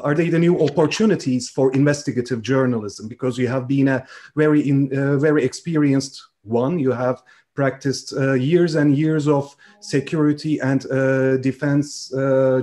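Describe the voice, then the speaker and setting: A man moderating a conference panel, asking a question in halting phrases with many fillers and restarts. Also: fairly high pitch, dark tone.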